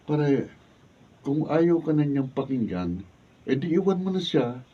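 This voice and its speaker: Blunt, animated Filipino buddy. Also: male voice, fairly high pitch, a little slow, breathy edge, full character voice. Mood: exasperated. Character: blunt, irritable young male friend. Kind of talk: Filipino radio drama acting. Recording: muffled, distant mic, noisy room.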